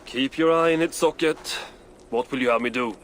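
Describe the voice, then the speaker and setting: A man playing a gruff, crude old dwarf. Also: medium pitch, fairly quick, a little hiss or hum, close to an everyday voice. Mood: wry banter. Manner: gruff, sardonic banter. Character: crude, ill-tempered old male dwarf, wry and foul-mouthed. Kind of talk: video game cutscene acting.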